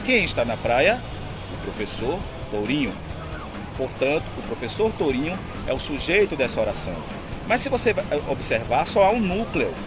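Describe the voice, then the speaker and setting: A man's voice: mid-range voice, sing-song, normal speed, music in the background. A man teaching a grammar lesson outdoors at a beach, asking questions and then answering them himself. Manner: asks and answers his own questions. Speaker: Portuguese teacher.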